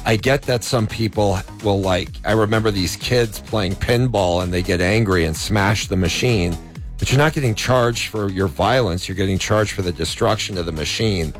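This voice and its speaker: A man's voice: fairly low pitch, normal speed, faint music behind. A man, a law professor, giving legal commentary on talk radio, arguing his points with examples. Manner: argumentative, explains with examples. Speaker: law professor, co-host guest.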